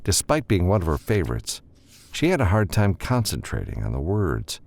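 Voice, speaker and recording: Low-pitched, gravelly male audiobook narrator. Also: huge pitch swings, normal speed, no room sound. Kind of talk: scripted fiction narration.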